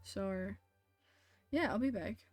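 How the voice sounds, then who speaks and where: A woman's voice: medium pitch, slow pace, darkish tone, no room sound, soft background music. A woman live streamer chatting casually while gaming, hesitant and thinking aloud as she talks to viewers.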